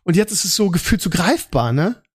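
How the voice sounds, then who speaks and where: A man's voice: high voice, sing-song, fairly quick, husky, dry recording. A man, recorded very dry. He chats casually as a podcast co-host, hesitating a little as he searches for his words. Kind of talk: casual chat.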